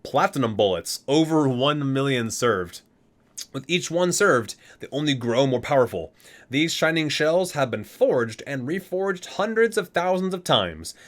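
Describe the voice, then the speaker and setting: A man's voice: medium pitch, lively intonation, measured pace. A man doing an ASMR reading, reciting expressively and dropping in casual, murmured offhand remarks. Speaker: video creator reading aloud from a game guide book.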